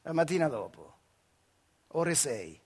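A man with a central Italian accent from the Marche, performing a theatrical radio monologue in colloquial dialect with dramatic pauses. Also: a little high, very animated, gravelly, darkish tone, slightly rough. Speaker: stage actor and storyteller performing a monologue.

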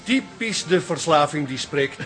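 A man playing a pompous amateur psychoanalyst in a Dutch radio comedy drama, gravely diagnosing everyone. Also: fairly high pitch, expressive, measured pace, fairly bright tone, faint music behind. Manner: pompous, analysing.

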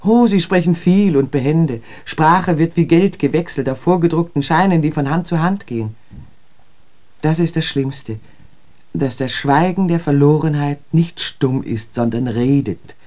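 An actress playing a disillusioned, educated woman in a literary radio drama, speaking in a measured, poetic and pensive way. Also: deep voice, mellow. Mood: somber, reflective.

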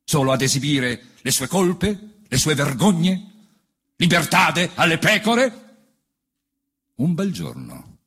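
Very husky man, theatrical verse recitation. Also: lowish voice, a bit bright. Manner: theatrical, grave, declamatory. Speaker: reciting performer. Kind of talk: dramatic poetry recitation. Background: faint band music.

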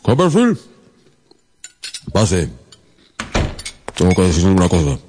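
A brusque, tactless headmaster in a radio play. His voice is very deep and his manner formal and indifferent, over faint noise with clinking cutlery. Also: huge pitch swings, slow pace, heavily acted. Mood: blunt, indifferent. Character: brusque, tactless old-fashioned school headmaster. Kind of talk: radio drama acting.

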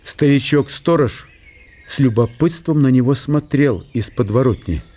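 A man's voice: medium pitch, animated, a little slow, mellow. A man narrating a literary historical radio drama as a scripted storyteller.

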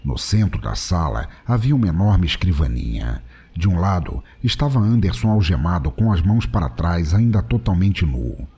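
A man's voice: low-pitched, sing-song, moderate pace, dark tone, slightly rough. A man reading scripted narration for an audio drama.

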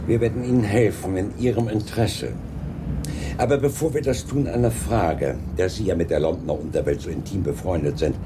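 An actor playing a brisk, sardonic police inspector in a German crime radio play, with an authoritative, matter-of-fact manner. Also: male voice, sits low, noisy background, natural voice. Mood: businesslike.

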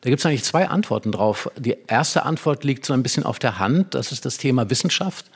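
A man speaking as an expert guest in a stage conversation, explaining reflectively and choosing phrases carefully with pauses. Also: medium pitch, lively intonation, husky. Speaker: expert guest, dramaturg and writer. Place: hall with a live audience.

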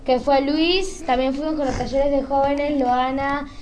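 A woman's voice: high-pitched, steady tone, unhurried, a little mellow. A young woman with a Rioplatense Argentine accent, chatting casually on radio and searching for her words. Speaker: radio show presenter. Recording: faint background noise.

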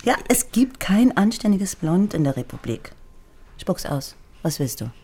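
A woman's voice: lowish voice, sing-song, unhurried, warm tone, breathy edge, exaggerated voice. A woman playing a teasing, sardonic East German police lieutenant in a radio crime drama, speaking bluntly and with mocking familiarity.

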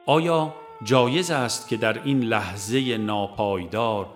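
A man narrating a spiritual audiobook in a measured, solemn way, phrase by phrase. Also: lowish voice, faint music behind. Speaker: audiobook reader. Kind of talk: scripted devotional narration.

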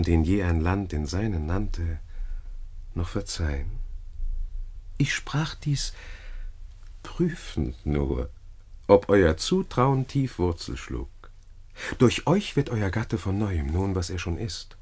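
An actor playing a scheming, flattering Roman gentleman in a classical radio play, speaking courtly, florid verse. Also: low voice, takes it slowly, long pauses, slightly rough, heavily acted. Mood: flattering, contrite.

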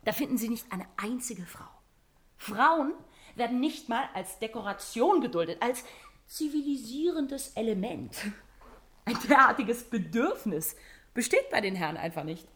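Animated, sardonic woman. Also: on the high side, normal speed. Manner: sardonic, emphatic, colloquial. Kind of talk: conversational, opinionated commentary.

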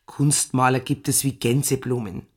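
An actress playing a stately, hospitable farm landlady in a radio drama, husky-voiced, explaining things courteously and matter-of-factly. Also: extremely low pitch, fairly even intonation, normal speed, a little mellow.

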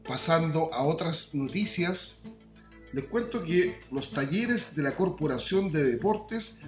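A Chilean man presenting a scripted radio news bulletin with measured, deliberate enunciation. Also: a little high, expressive. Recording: roomy echo, music behind. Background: faint music.

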